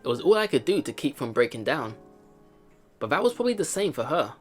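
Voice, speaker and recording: Expressive man reading a visual novel over music. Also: medium pitch, fast talker. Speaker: let's play host. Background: game music.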